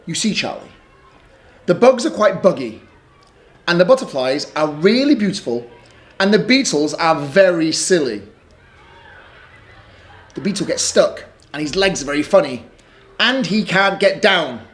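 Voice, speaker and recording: A man voicing an excitable, insistent little girl in a storybook reading. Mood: eager. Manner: high, eager, pleading, quick.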